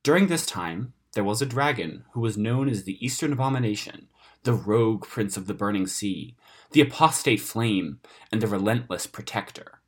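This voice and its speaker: Guy reading a fantasy story, kinda husky voice, really animated and dramatic storyteller delivery. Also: lowish voice, moderate pace. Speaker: story narrator reading own fantasy tale. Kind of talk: scripted narration of a fantasy story.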